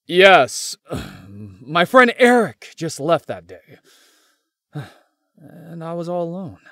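An actor voicing a devoted, earnest young man in an anime-style romance game, speaking haltingly. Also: fairly high pitch, very animated, fairly bright tone, no echo, clean studio sound, exaggerated voice. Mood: reflective, tender. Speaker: voice actor in a visual novel.

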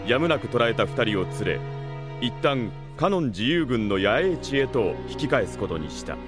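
A man acting as the narrator of a fantasy saga in an audio drama. He tells it in scripted, storytelling phrases with clear music playing behind him. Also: medium pitch, sing-song, normal speed, natural voice. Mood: solemn narration.

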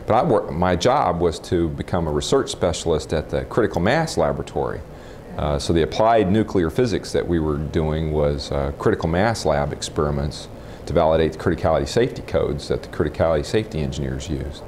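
An American man in his forties speaks in a very deep bass register. He answers as an interviewee, matter-of-fact and a little halting. A lapel mic picks him up indoors with faint noise behind. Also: lively intonation, darkish tone. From Texas, United States. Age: 40s. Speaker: interviewee, nuclear physicist. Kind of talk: informal oral history interview, recollecting. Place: room in a house, indoors.

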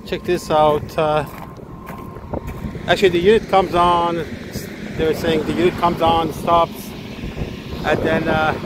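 A man working as an HVAC technician, narrating a casual work video outdoors on a commercial rooftop into a handheld phone, talking haltingly with lots of "uh" fillers. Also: a little high. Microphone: handheld phone camera. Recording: wind noise on the mic.

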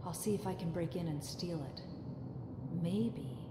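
A woman's voice: fairly low pitch, a little slow, lightly acted. A woman as an earnest young heroine, lost. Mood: resolute. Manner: earnest, pleading, hesitant. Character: determined young woman lost and searching for answers. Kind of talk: video game cutscene acting. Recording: echoey hall with ambient noise.

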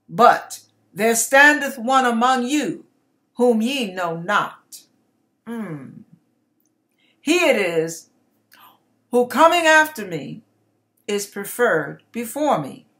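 A woman in her sixties reading scripture aloud in a deliberate, emphatic, expressive style, acting out the dialogue. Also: mid-range voice. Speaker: online ministry host reading scripture aloud. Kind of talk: expressive, dramatic Bible reading in King James English. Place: small curtained room indoors. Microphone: webcam at desk distance.

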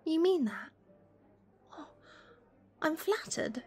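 A woman playing a playful, affectionate young best friend in a gentle, teasing ASMR roleplay. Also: very high-pitched, sing-song, takes it slowly, full character voice. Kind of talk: gentle ASMR roleplay, one-sided conversation.

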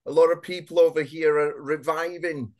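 A man's voice: on the high side, flat delivery, normal speed. A man in his mid-thirties from North Wales, a native Welsh speaker with a mixed northern and southern Welsh accent, chatting casually as an interview guest on a video call. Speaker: guest author. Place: small indoor room, on a video call. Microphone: video call, webcam distance.

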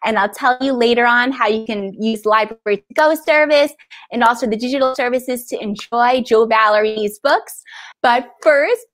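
A woman in her thirties with an American accent, hosting an interview over a video call in an upbeat, smiling, enthusiastic way. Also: fairly high pitch. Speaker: library event host.

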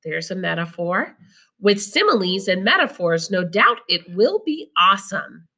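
A woman reads to pupils with a bright, lively voice that swings up and down. Her pace is unhurried, and she stresses key phrases. There is some creak in her voice as she moves between reading and explaining. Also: medium pitch. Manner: instructive, deliberate, emphasizing key phrases. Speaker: teacher reading aloud. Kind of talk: picture-book read-aloud with explanatory asides.

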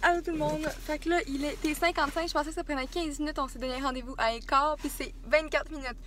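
A woman's voice: high-pitched, steady tone, fast, fairly bright tone, a little hiss or hum. A young woman in her twenties with a Quebec French accent, chatting casually as a vlogger, rambling with lots of fillers into a handheld camera. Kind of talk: casual vlog chat. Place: small apartment indoors. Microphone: handheld camera at arm's length.

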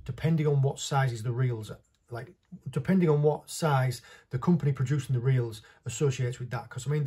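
A middle-aged man with a slightly husky voice, giving an informal, off-the-cuff product review to camera in a small indoor room. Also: mid-range voice, mellow. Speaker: fishing vlogger reviewing tackle. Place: small indoor workshop with stone wall.

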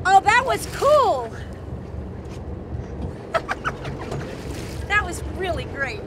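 Loud, excitable New woman. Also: very high-pitched, extremely slow, noisy background. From New Jersey. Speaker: cooking show host. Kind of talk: reality show chatter to camera. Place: fishing boat on the ocean, outdoors. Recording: music playing loudly under the voice.

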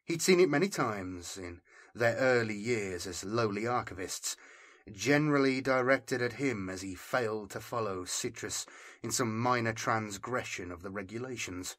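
A man reads dramatic fiction in a mid-range voice. His narration is measured and serious, clearly scripted audiobook storytelling. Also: medium pitch, fairly bright tone.

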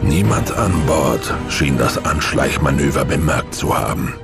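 A man's voice: a bass voice, very animated, measured pace, vocal fry, exaggerated voice. A man performing as the grave narrator of a science fiction audio drama. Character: grave male narrator of a space adventure. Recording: loud music under the voice.

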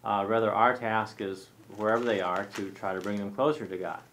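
A man in his forties with a fairly low voice co-hosts a Bible discussion in a small studio. He sets out his points in a measured, explanatory way, and his tone stays conversational and steady. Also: slight background noise. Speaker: co-host. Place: small studio set with armchairs.

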